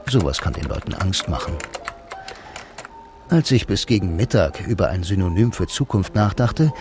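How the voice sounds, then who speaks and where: A man's voice: deep voice, moderate pace. An actor playing a sardonic blind bank employee who narrates his story in a radio play, dryly and laconically. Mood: deadpan, brooding. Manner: dry, deadpan, laconic. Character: sardonic blind male bank copywriter, a lonely self-styled noir hero. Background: music.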